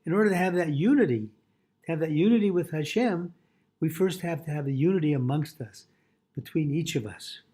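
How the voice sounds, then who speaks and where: A male rabbi gives a Torah lesson in a husky, mellow voice pitched a little high. He reads a passage, translates it, and explains it haltingly, often repeating his phrases. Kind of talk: religious lesson, reading and translating a text aloud.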